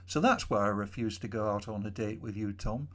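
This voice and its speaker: A man voicing a young woman of about thirty who speaks quietly and candidly in a storybook reading. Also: fairly low pitch, brisk, close to an everyday voice. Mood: confiding. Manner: quiet, soft-spoken.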